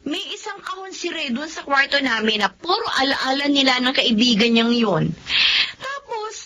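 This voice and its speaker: A woman's voice: high voice, big pitch swings, moderate pace, bright, forceful tone, exaggerated voice. A woman playing a caring, teasing young wife in a Tagalog radio drama, with a Filipino accent and a playful, chiding way of talking. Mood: fondly chiding. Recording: dull lo-fi audio, faint noise.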